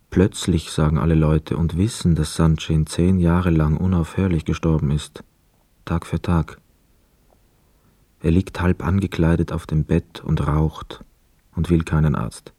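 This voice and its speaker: An actor narrating a literary radio play as a sober, observant storyteller with a mellow voice. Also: male voice, flat delivery, unhurried, dry recording. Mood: reflective. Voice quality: deep, mellow. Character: sober, observant male first-person narrator.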